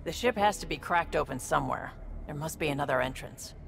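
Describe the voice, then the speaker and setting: A savvy alien squadmate in a video game, talks in a fairly low voice. She is dry and down-to-earth, heard over clear ambient and vehicle noise. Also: animated, brisk. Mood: pragmatic. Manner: casual, dry. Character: street-smart, pragmatic female alien squadmate.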